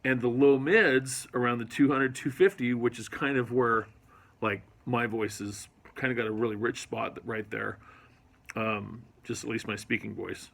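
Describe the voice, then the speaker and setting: A man's voice: mid-range voice, steady tone, moderate pace, creaky, a bit bright. A man casually reviewing studio gear in his recording studio, rambling and hesitant with lots of ums, recorded on a phone. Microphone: iPhone camera mic.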